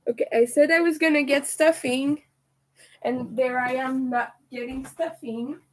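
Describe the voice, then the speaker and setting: A young woman with a raspy, hoarse voice, chatting casually with viewers as she crochets on a livestream. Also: sits high, steady tone, unhurried. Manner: chatty, talks to viewers while working. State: hoarse from streaming a lot. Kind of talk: casual chat while crocheting live.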